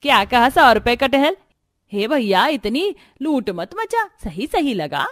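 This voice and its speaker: A woman's voice: a little high, big pitch swings, slow, dry recording, clean studio sound, pitched above the performer's own voice. Woman playing pushy middle-aged mother-in-law. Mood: haggling. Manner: insistent, bossy.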